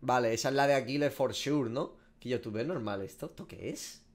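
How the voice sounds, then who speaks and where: A man's voice: medium pitch, a little fast. A man in his twenties with a Spanish accent from Spain, giving slangy, sweary reaction commentary as a gaming streamer. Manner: informal, slangy and sweary, thinking aloud. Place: small home room.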